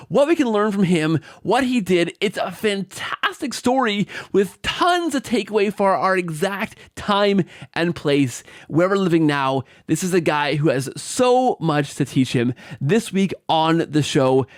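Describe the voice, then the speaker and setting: A man's voice: high voice, no echo, studio quality. A man hosting a podcast in an upbeat, conversational style, effusive and rambling as he circles back on his phrases. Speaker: podcast host.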